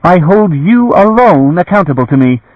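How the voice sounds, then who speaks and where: A commanding noblewoman, still and clear, giving orders without warmth, as a male narrator puts her on with a raised voice. Her lines come across a touch louder than the narration, and the audio is dull and dry. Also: high voice, sing-song, moderate pace, no echo, voice pushed up. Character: cold commanding tall woman warrior-lady.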